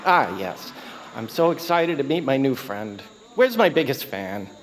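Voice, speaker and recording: An actor playing a small, awkward radio celebrity in a comic audio drama, halting, fumbling and apologetic. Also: male voice, mid-range voice, sing-song, a crowd in the background, background noise. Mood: flustered. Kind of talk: acted comic audio fiction.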